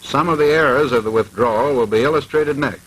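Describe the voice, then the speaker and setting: Bright, husky-edged vintage instructional film narrator, male. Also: medium pitch, rough recording. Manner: formal, measured, precise.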